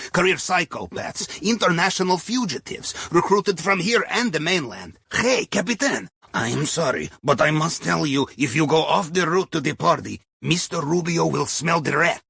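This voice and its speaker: Russian video game heist planner, wry and chatty, bright raspy voice, super dry close recording, folksy broken english. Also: male voice, high voice, dry recording, heavily acted. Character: wry, jovial Russian submarine captain's heist planner, male. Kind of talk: video game mission dialogue over radio.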